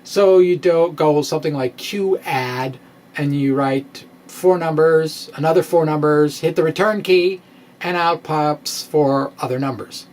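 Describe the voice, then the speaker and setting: A middle-aged man giving a dramatic reading of a science blog in a wry, theatrical manner with pointed pauses, close to a microphone in a small room. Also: on the high side, a little mellow. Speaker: science blogger and presenter. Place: small indoor room with bookshelves. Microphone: microphone on a stand, close in front.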